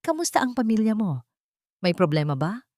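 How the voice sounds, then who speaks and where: A woman's voice: mid-range voice, sing-song, moderate pace, darkish tone, no room sound, studio quality, pitched above the performer's own voice, natural voice. A woman voicing a guarded, troubled wealthy male patient who speaks tersely in a drama story. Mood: probing. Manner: terse, uncertain.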